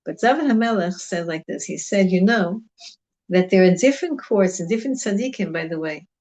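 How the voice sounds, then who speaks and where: A woman's voice: fairly low pitch, expressive, a little fast, darkish tone. A woman teaching an informal religious class on the Psalms, talking in a conversational, digressive way and mixing Hebrew terms into her English. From United States.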